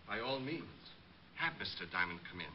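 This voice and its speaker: A man's voice: lowish voice, lively intonation, brisk, very noisy, close to an everyday voice. An actor playing a bitter, unhinged bomber convinced of his own genius, politely menacing and prone to agitated outbursts, in 1950s radio drama style. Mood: menacing calm. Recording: muffled vintage radio broadcast recording.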